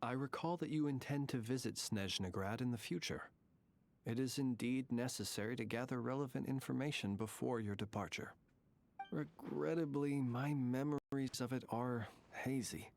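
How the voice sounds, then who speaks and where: A man's voice: medium pitch, gravelly, a little mellow, lightly acted. A man voicing a courteous, wistful ancient dragon prince. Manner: formal, measured, old-fashioned courteous phrasing. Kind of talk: scripted game character dialogue.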